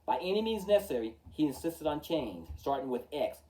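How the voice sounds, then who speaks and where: A man reading a rhyming children's picture book aloud with rhythmic, emphatic, expressive delivery. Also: a little high, a little fast, slight background noise. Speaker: storytime reader. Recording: distant from the mic.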